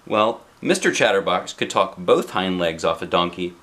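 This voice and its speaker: Animated man reading a children's storybook. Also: deep voice, moderate pace. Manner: playful, expressive, chatting with a child. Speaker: dad reading a picture book aloud.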